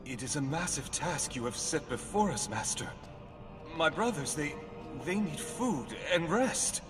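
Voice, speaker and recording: An actor playing a desperate, fearful male slave in a video game, pleading and anxious, with halting speech. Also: a little high, expressive. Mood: pleading, submissive. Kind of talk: video game cutscene acting. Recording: clear music behind the voice.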